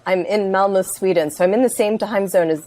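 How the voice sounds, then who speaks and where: A woman's voice: medium pitch, a little fast, mellow. A woman introducing herself as a chapter author on a video call, upbeat. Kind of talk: casual self-introduction.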